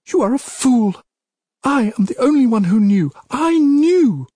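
Shrill, impassioned young princess, pitched very high. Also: male voice, big pitch swings, unhurried, warm tone, slightly rough, no room sound, clean studio sound, exaggerated voice. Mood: furious screaming. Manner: shrill, insistent, emotional.

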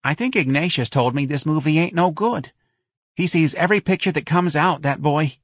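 A man's voice: a little high, moderate pace, no echo, clean studio sound, voice pushed up, natural voice. A man voicing a whiny, self-pitying older working-class mother in an audiobook, plaintive and folksy. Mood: reluctant. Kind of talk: audiobook character dialogue.

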